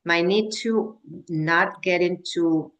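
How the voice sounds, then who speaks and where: Slow, low-voiced woman coach in her 50s. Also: a bit bright. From Mexico. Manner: halting, full of restarts and "like" fillers. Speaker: menopause wellness coach. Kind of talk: casual video-call conversation. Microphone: video call.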